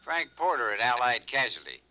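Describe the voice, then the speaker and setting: Eager company man, piercingly bright voice. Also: mid-range voice, big pitch swings, brisk, slight background noise, poor audio. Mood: surprised, eager. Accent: American. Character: eager, startled male insurance company man. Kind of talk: 1950s radio drama acting.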